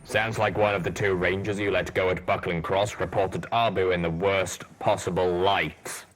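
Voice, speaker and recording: A man voicing a grim, bitter ghoul soldier pony with a rumbling voice in an audiobook, slow and blunt, over background noise. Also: steady tone, fairly bright tone, poor audio. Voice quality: rumbling, low. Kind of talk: audiobook character voice.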